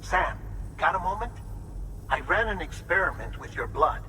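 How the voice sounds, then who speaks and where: An actor playing an analytical researcher in a video game, briefing in precise, formal words. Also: male voice, high-pitched, lively intonation, moderate pace, pushed and bright, slight background noise, poor audio. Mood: measured, intrigued. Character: brisk, analytical male researcher briefing a courier.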